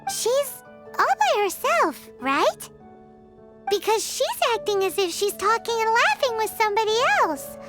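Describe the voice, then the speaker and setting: A woman voicing a tiny, excitable, nosy floating girl companion in a video game, childish and whiny. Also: very high voice, unhurried, exaggerated voice. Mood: excited, curious. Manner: refers to self in third person, whiny. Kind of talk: video game character acting. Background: music.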